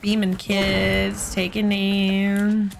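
A woman's voice: mid-range voice, monotone, extremely slow, vocal fry, faint music behind. A woman in her twenties chatting casually on a game livestream, recorded close on a streaming mic indoors. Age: 20s. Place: bedroom streaming setup indoors. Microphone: close boom-arm streaming mic with headset.

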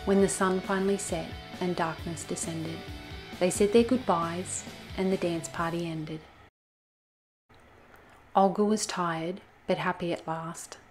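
A woman reading a children's storybook aloud as host, in an expressive style. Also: fairly low pitch, a little slow. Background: soft music.

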